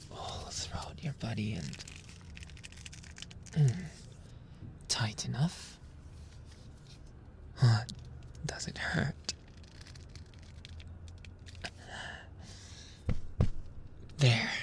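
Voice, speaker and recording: A man playing a menacing, possessive mafia boss in an ASMR roleplay, speaking deliberately with mock sweetness and often dropping into a whisper. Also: medium pitch, a little mellow, slight background noise. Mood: quietly menacing. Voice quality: breathy, often whispering, creaky.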